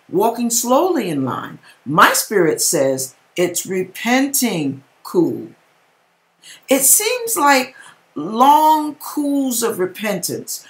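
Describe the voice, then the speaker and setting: A middle-aged American woman giving a theatrical, emphatic dramatic reading with casual asides, recorded on a webcam in a home kitchen. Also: on the high side. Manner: theatrical, emphatic, drawn-out delivery. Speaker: host reading viewers' dream accounts. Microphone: webcam at desk distance.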